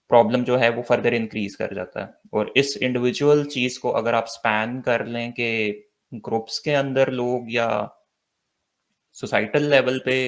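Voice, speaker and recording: A man's voice: on the low side, vocal fry. A young man co-hosting a casual podcast, talking in a mix of Hindi-Urdu and English with many fillers. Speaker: podcast co-host.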